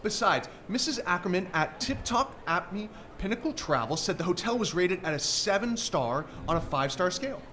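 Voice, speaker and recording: An actor playing an easygoing, reassuring vacationing husband in a comic radio play, mild and a little hesitant. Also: male voice, sits high, big pitch swings, moderate pace, hardly any pauses, bright, forceful tone, heavily acted. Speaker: actor in live radio drama. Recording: noisy live theater stage recording.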